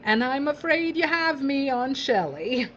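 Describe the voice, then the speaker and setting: Woman acting a theatrical, callous showbiz villainess, swooping pitch. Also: sing-song, measured pace, fairly bright tone, muffled recording, a little hiss or hum, exaggerated voice. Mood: coolly callous. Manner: theatrical, drawling, condescending endearments. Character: breezy, callous female showbiz boss, theatrical and condescending. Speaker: television executive in a drama. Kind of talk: audio drama acting.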